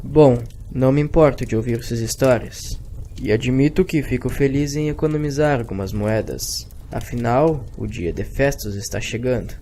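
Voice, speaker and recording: A man's voice: medium pitch, normal speed, dark tone, slight background noise, natural voice. A man playing a polite young traveller in an audio drama. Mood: courteous. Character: polite young male traveller seeking shelter.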